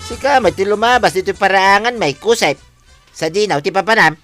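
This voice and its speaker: A man with a northern Filipino accent playing a henpecked middle-aged village husband, animated and complaining, in a comedic radio drama. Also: sits high, fairly quick, faint music behind. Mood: eager.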